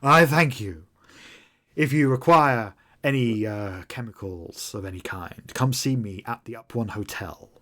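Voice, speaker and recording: A man voicing a slippery, showy male drug peddler who speaks theatrically and loudly, counting in a sing-song way. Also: medium pitch, a little slow, natural voice.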